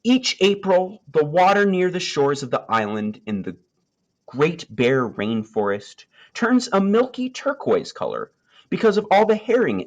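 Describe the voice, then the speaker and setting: Very animated man reading a storybook aloud. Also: on the high side, highs cut off. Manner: expressive, emphatic phrasing with dramatic pauses. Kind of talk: scripted children's nature story read aloud.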